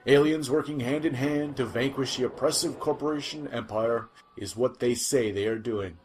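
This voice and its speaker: A man plays an earnest reporter from a futuristic newscast in a sci-fi audio drama. His medium-pitched voice is animated and announcerly. Faint background noise runs under the report. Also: close to an everyday voice, poor audio. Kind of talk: news report parody, scripted narration.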